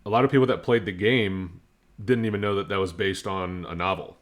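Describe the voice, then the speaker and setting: A man's voice: low-pitched, steady tone, a little fast. A man on a podcast panel, debating casually and insistently.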